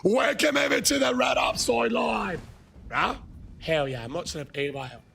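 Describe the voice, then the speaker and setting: Hoarse, gravelly Irish streamer. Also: male voice, high-pitched, a little slow. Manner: excitable, bursts into shouting while thanking viewers. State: hoarse, losing his voice. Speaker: gaming livestreamer. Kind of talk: casual live-stream chat.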